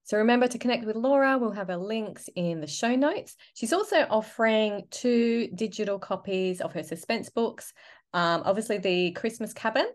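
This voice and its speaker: A woman co-hosting a book podcast, talking casually and upbeat with plenty of fillers. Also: mid-range voice. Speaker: podcast co-host.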